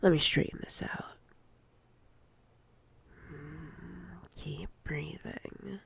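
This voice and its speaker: Casting: actress for predatory female manager, intimate ASMR roleplay. Slow, deliberate, commanding delivery, whispery with vocal fry. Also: low voice, a bit bright, full character voice. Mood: teasing, possessive. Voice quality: breathy, often whispered. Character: domineering, predatory female boss toying with a nervous employee.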